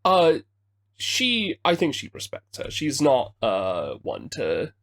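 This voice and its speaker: A man playing a tabletop game, narrating his character in the third person in a hesitant, deliberate way. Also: mid-range voice, wildly swooping pitch, a bit bright.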